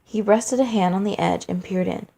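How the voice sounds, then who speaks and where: A woman reads fan fiction aloud as dramatic narration. Her voice is mid-pitched and rather husky, telling the tale from a script. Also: moderate pace, warm tone.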